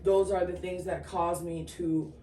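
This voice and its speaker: A woman in her thirties, born in Sudan and raised in the Twin Cities, telling her story as a guest in a casual interview, reflective and halting. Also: lowish voice, level tone, dark tone, far from the mic. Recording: echoey room, off-mic, faint noise.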